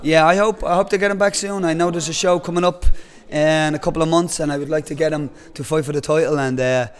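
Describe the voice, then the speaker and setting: A male coach speaks calmly after a fight, pausing with fillers as he gathers his thoughts. His voice sits a little high for a man. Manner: calm, hesitant, many fillers. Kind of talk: post-fight interview.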